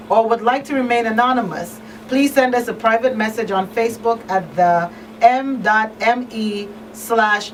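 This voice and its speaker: A woman hosting a live radio call-in show, effusive and repeating words for emphasis. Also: a little high. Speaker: talk show host. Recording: distant mic, faint noise behind.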